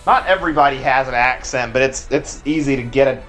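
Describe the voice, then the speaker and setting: Texan male streamer, mid-pitched, rambles through his chat over faint game music, casual and unscripted. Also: fairly quick, fairly bright tone. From Texas. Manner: rambling, filler-heavy, answers chat as he plays. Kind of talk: casual live-stream chat while playing.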